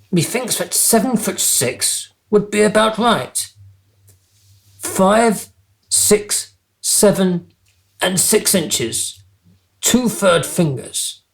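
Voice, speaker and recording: A man's voice: high-pitched, vocal fry, heavily acted. An actor playing a childish, vain and callous king in a comic radio play, pompous and petulant. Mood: smug, imperious. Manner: pompous, petulant, theatrically posh phrasing.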